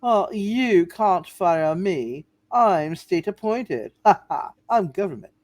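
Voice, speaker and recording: A woman's voice: fairly low pitch, animated, slow, a little mellow, no room sound. A woman acting a principled, defiant state bank examiner with a folksy, indignant manner in a radio play. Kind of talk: hammed-up radio play reading.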